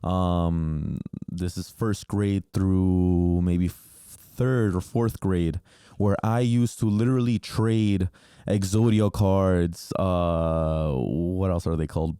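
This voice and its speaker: A man's voice: lowish voice, mellow, clean tone, no echo, studio quality. A man in his twenties, a native Spanish speaker, hosting a podcast and telling stories from his childhood in a loose, candid way, with frequent ums and casual swearing. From New Jersey, United States. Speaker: podcast host. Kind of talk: casual solo storytelling.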